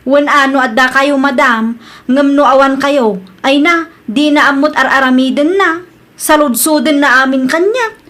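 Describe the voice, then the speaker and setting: Actress for hot-tempered female office snob, Filipino accent, high-pitched, whiny complaints, audio drama. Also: measured pace, a bit bright. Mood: complaining. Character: impatient, hot-tempered, haughty female senior employee. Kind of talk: acted audio comedy-drama dialogue.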